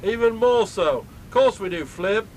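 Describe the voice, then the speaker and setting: A man's voice: high voice, expressive, moderate pace, darkish tone, a little hiss or hum. A man with a put-on Australian accent playing a laid-back pub mate who chuckles and eggs on his friend's story in a comic radio drama. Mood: easygoing banter. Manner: chuckling, easygoing pub banter.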